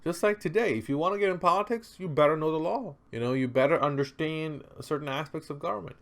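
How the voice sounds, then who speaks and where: A man's voice: fairly high pitch, quick pace, fairly bright tone. A man presenting an unscripted, conversational explainer, speaking emphatically with rhetorical questions and repeated phrases for stress. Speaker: presenter explaining history and philosophy.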